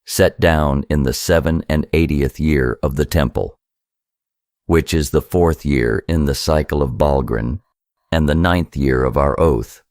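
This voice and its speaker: An extremely deep-voiced man reads scripture solemnly and formally. He takes his time over each phrase, and the sound is dry and free of echo. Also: unhurried, darkish tone. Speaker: reader of a scriptural book.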